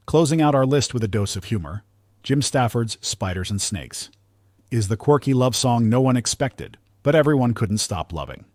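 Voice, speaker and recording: A man's voice: medium pitch, animated, moderate pace, a little mellow, dry recording, studio quality. A man reading a scripted music countdown as narrator, with upbeat, promotional phrasing.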